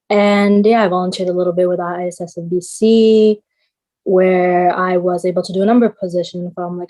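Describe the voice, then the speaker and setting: Mellow, low, even-toned young woman. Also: poor audio. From Canada. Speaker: panelist. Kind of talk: self-introduction on a video call panel. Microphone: video call.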